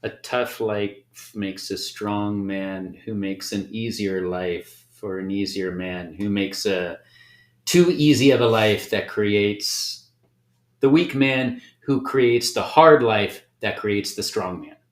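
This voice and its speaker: A middle-aged man co-hosting a podcast, speaking in a measured, thoughtful way in casual conversation. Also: fairly low pitch. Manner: measured, thoughtful, supportive listener. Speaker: podcast co-host. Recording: echoey room.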